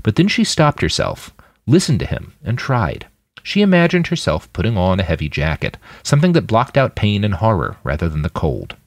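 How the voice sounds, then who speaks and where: A man with a very low voice narrates fiction in a dramatic audiobook style. His intonation swings widely, and the recording is close and dry. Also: normal speed, no echo. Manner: dramatic, expressive storytelling. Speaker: fiction podcast narrator and author.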